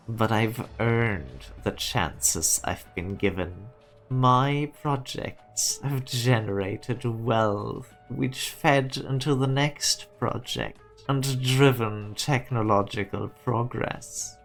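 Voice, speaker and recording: Slow, speechifying ambitious businessman played by a man. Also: lowish voice. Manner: deliberate, speechifying. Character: ambitious, self-made businessman, proud of progress. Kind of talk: scripted fan-dub game drama monologue.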